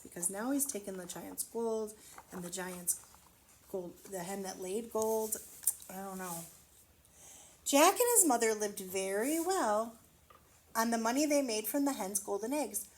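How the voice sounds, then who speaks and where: A woman reading a storybook aloud to children, expressively, pausing to wonder aloud and explain things simply. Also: mid-range voice, animated, darkish tone, slight background noise, rough recording. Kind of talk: children's read-aloud with asides to the listener.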